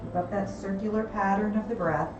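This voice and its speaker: A woman teaching a gentle yoga class, calmly cueing breath and movement, her voice a little gravelly and hoarse. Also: mid-range voice, fairly quick, dull audio. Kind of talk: gentle guided yoga instruction. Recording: roomy, distant, music behind.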